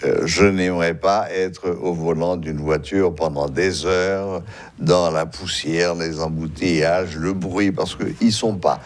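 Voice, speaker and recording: A man hosting a TV talk show, speaking hesitantly with many pauses. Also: low-pitched, steady tone. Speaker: host.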